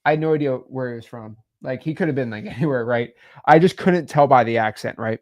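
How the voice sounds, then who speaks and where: A man's voice: mid-range voice, quick pace. A young man co-hosting a podcast, giving casual business advice in a rambling way with many fillers. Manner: rambling, many fillers, animated swings in loudness. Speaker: podcast co-host.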